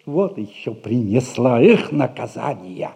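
An actor playing a sly, grumbling peasant gardener in a classic radio comedy, with folksy speech. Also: male voice, mid-range voice, sing-song, a little slow, gravelly, dark tone, slightly rough, heavily acted. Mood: grumbling, needling.

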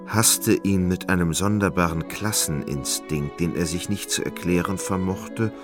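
A man with a very deep, creaky voice giving literary narration in a radio play. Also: measured pace, over music.

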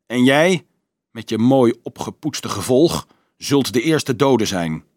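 A man's voice: mid-range voice, big pitch swings, takes it slowly, dry recording, clean studio sound. A man playing a snarling, jeering mounted raider chief. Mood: scornful, threatening. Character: cruel, scornful armed horseman leader making threats. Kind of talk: audiobook character voice.

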